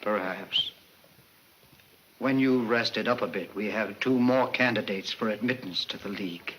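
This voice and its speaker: An actor playing a cold, composed criminal professor with a formal, measured, menacing manner in a 1940s film serial. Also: male voice, lowish voice, a bit bright, a little hiss or hum, lightly acted, rough recording. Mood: calm menace. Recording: old 1940s film soundtrack with music.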